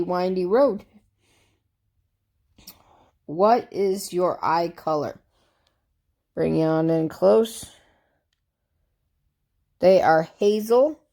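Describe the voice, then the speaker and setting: A woman's voice: fairly low pitch, darkish tone. A woman vlogger chatting casually through tag questions, congested from a cold, rambling and easygoing. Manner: rambling, easygoing, with fillers and asides.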